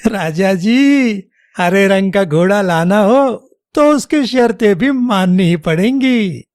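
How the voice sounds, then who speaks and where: A man playing a clever, loyal royal minister in an animated children's story, polite and deferential. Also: high voice, slow pace, no echo, clean studio sound. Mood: sly, polite.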